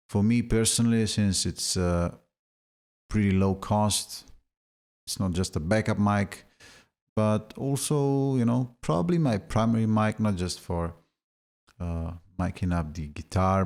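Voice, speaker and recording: Deep, gravelly-voiced man reviewing gear. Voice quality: deep, boomy voice. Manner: relaxed, chatty, with filler words. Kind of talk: casual product review.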